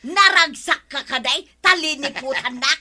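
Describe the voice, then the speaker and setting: A woman's voice: high voice, normal speed, pushed and bright. A woman playing an indignant, quarrelsome village woman in a comedy drama, with a Filipino accent and an exclamatory way of talking. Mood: furious. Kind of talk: Ilocano comedy radio-drama acting.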